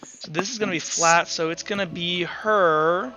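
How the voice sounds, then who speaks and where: Male game master, casual, slightly high bright voice, muffled audio, light music behind. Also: fairly even intonation, moderate pace. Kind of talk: running a tabletop roleplaying game, casual.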